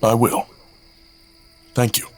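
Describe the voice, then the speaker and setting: A man's voice: slow pace, faint music behind, close to an everyday voice. A man playing Chartrulean, aloof half-human stranger. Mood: reserved. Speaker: lead character. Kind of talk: audio drama acting.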